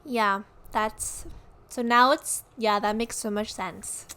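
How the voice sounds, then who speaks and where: A young woman co-hosting a podcast in casual chat, a little hoarse from a cough, bubbly and full of fillers. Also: on the high side, fairly even intonation, slow, bright, forceful tone. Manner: bubbly, lots of "like" and "yeah". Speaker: podcast co-host.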